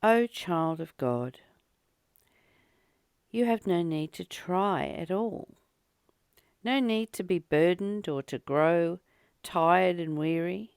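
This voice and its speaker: A woman hosting a spiritual study, reading a text aloud and commenting on it in deliberate, measured phrases. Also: lowish voice, animated, a little mellow, clear, resonant tone, dry recording, clean studio sound. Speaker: host of daily spiritual readings.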